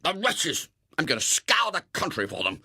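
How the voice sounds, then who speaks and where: Guy doing outraged blustering young brit, excitable, voice way up high, storybook read. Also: moderate pace, slightly rough, full character voice. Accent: British. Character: indignant, excitable young man, robbed and outraged. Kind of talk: dramatised audiobook reading.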